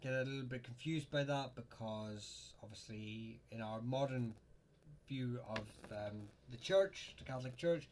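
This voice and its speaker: Male host, live chat, middle-pitched and halting, faint hiss behind. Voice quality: halting, lots of ums and uhs. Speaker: host answering viewer questions.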